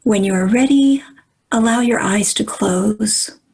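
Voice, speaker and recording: A woman guiding a meditation in a soft, breathy voice, calm and soothing, with measured phrases and pauses. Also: mid-range voice, poor audio. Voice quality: soft, breathy, at times near whisper. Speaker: meditation guide. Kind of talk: guided meditation.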